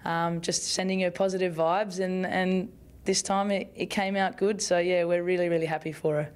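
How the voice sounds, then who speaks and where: Australian woman, low flat voice. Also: moderate pace. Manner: chatty, with many fillers and restarts, laughs easily. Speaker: football player at a press conference. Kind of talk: press conference answers. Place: club media room in front of a sponsor backdrop. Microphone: bunch of press microphones on the table.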